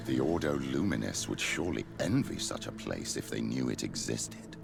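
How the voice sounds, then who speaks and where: An actor playing a grave, fatherly older priest in a video game, wary and worldly, with a gravelly voice and formal manner. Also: a bass voice, sing-song, normal speed, over music, full character voice.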